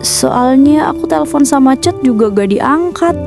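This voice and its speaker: A woman's voice: sits high, lively intonation, moderate pace, gravelly. A woman voicing a timid, apologetic teenage girl in a cartoon drama, hesitant and stammering. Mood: meek, apologetic. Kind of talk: animated drama voice acting. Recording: music behind the voice.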